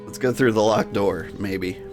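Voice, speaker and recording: Male gamer, mid-pitched, talking casually through a narrative game with music behind. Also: measured pace. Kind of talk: game playthrough with dramatic read-aloud and casual asides.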